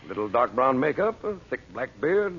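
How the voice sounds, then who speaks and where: Self-assured radio adventure hero, animated delivery. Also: male voice, mid-range voice, normal speed, slightly rough. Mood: confident, insistent. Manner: confident, commanding, reassuring. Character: bold, self-assured magician and uncle, planning a dangerous disguise. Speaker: lead actor in a radio serial. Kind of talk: old-time radio adventure acting. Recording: dull, noisy old radio recording.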